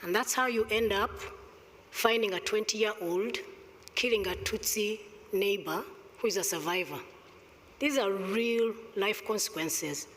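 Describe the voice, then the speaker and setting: A middle-aged woman with a Rwandan accent making emphatic, deliberate panel remarks into a table microphone. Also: medium pitch, fairly bright tone, a little hiss or hum. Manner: emphatic, deliberate argument with pointed rhetorical questions. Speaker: conference panelist. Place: large indoor conference hall, on stage. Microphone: gooseneck table microphone. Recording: reverberant conference hall sound.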